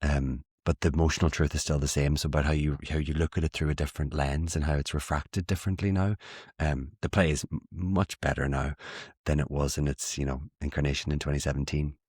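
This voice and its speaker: A man's voice: very deep voice, flat delivery, fairly quick, a little mellow, breathy edge, no room sound, clean studio sound. A man in his late twenties with an Irish accent, chatting casually as an interview guest in a wry, self-deprecating way. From Ireland. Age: late 20s.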